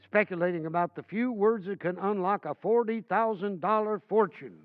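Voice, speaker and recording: A man's voice: high voice, normal speed, no echo, narrow, tinny audio. A man narrating an old-time radio drama in a storytelling manner. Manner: storytelling, even-paced.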